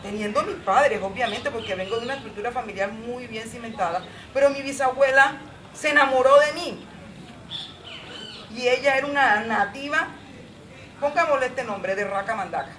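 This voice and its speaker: A woman's voice: on the high side, normal speed, fairly bright tone. Woman panelist, emphatic storyteller, roomy sound with noise behind. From Colombia. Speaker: panelist at a community forum. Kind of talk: impassioned personal talk. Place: outdoor patio. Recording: roomy, distant and noisy.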